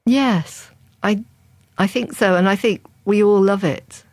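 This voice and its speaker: A woman's voice: mid-range voice, measured pace, darkish tone, no room sound. An older woman, a crime novelist, answering interview questions in a chatty, thoughtful way with frequent restarts.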